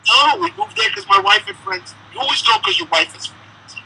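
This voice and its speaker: A man answering interview questions in a chatty, halting way, full of restarts. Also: extremely high pitch, sing-song, sharp tone, slight background noise, poor audio. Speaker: musician guest. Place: Israel.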